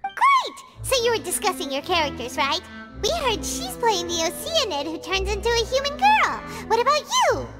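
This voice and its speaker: Tiny childlike game fairy: very high, squeaky, eager, swooping delivery over music. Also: female voice, huge pitch swings, normal speed, heavily acted. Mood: cheerful. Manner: chirpy, eager. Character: tiny cheerful childlike fairy companion, female. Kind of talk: video game character acting. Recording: music playing behind the voice.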